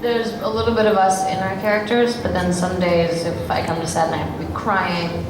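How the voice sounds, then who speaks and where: A chatty woman from Bulgaria, talking on a panel and laughing easily. Her voice is caught from far across a very echoey hall with clear background hiss. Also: lowish voice, level tone, a little fast. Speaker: actress on a convention panel. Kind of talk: relaxed panel Q&A. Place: large echoey convention hall.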